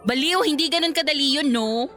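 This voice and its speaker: A woman's voice: sits high, a little fast, bright, forceful tone. A woman acting a playful but guarded young woman who teases her admirer, in a radio romance drama. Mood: wistful, guarded. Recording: background music and crowd chatter.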